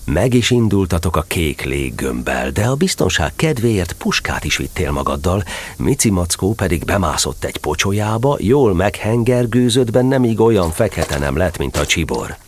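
A man narrating a children's story from a script in an expressive storytelling manner. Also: deep voice, a little hiss or hum.

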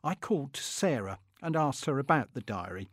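A man's voice: medium pitch, sing-song, brisk, no room sound, studio quality. A man playing a pompous, fussy, middle-aged Victorian clerk who is easily affronted, in a comic dramatic diary reading. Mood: aggrieved, self-satisfied. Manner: pompous, indignant, self-satisfied.

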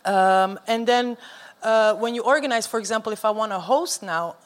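A woman's voice: fairly high pitch, moderate pace. Woman talking casually. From Antwerp, Belgium. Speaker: event organiser and spoken-word poet on a panel. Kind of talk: casual spoken discussion.

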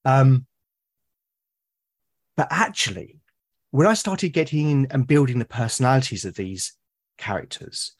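Man from Britain, podcast guest, husky, mid-pitched, enthusiastic, rambling. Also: lively intonation, a little slow, long pauses. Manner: enthusiastic, rambling with many restarts. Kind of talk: casual podcast conversation.

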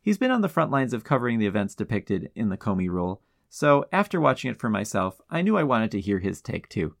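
Man hosting a podcast, gravelly edge. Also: on the low side, sing-song, brisk. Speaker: podcast host and interviewer. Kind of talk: podcast introduction and conversational interview.

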